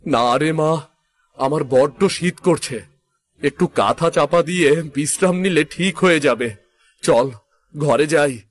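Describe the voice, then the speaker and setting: A man playing a poor, weary middle-aged woodcutter father in a moral-story cartoon, gentle but proud, with a plaintive, folksy way of talking. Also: fairly high pitch, slow, pauses often, dry recording. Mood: tired, gentle.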